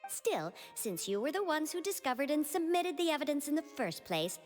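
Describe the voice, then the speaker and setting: A courteous young woman clerk, helpful and polite, with a somewhat high voice. She sounds like a video game character at a service desk. Long reverb and faint background music surround her. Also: big pitch swings, normal speed.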